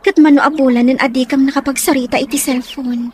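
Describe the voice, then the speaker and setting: Actress as a high-voiced, lovesick young Filipino woman. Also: steady tone, quick pace, a little mellow, a little hiss or hum, lightly acted. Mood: wistful, worried. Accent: Filipino, Ilocano. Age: young adult. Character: Stella, lovesick young provincial woman, gentle and wistful. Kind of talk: Ilocano radio drama acting.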